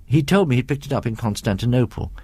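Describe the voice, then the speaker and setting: British male narrator for reminiscent ghost story, expressive pitch movement, measured delivery, close dry sound. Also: dry recording. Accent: British English. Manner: measured, reminiscing, conversational asides. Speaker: story reader.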